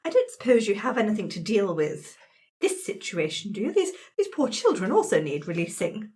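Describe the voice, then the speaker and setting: A woman's voice: mid-range voice, huge pitch swings, fairly quick, darkish tone. A woman playing a tabletop game, improvising roleplay chat in a polite, coaxing, slightly hesitant manner. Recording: faint background noise.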